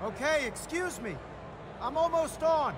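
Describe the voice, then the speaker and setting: High-pitched male voice, smooth polished art teacher, encouraging, measured hesitations, game cutscene, echoey room. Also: animated. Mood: casual, probing. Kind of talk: video game cutscene dialogue and stage announcement. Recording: echoey hall, crowd and noise behind.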